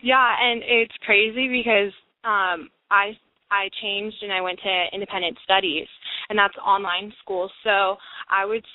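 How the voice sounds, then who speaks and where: A woman's voice: mid-range voice, little pitch movement, a little slow, no room sound. A young woman from California, a guest talking earnestly and chattily with lots of fillers in a casual phone interview. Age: young adult. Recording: tinny phone line.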